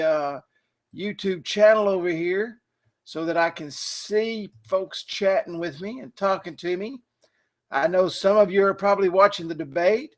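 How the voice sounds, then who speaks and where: A hoarse man, talking casually and rambling with fillers while he hosts a live online training from his desk. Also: high voice. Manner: rambling, chatty, many fillers and restarts. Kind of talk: casual live webinar talk.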